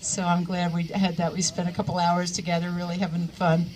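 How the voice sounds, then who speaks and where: Halting woman in her sixties. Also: fairly low pitch, flat delivery, a little mellow, a little hiss or hum. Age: 60s. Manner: halting, many pauses and fillers. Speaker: coworker giving a eulogy. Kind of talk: memorial remarks.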